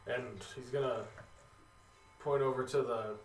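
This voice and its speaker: A man's voice: mid-range voice, lively intonation, normal speed. A man narrating as game master of a tabletop game in a casual, hesitant way, with many fillers. The recording is roomy and distant, with faint noise behind him.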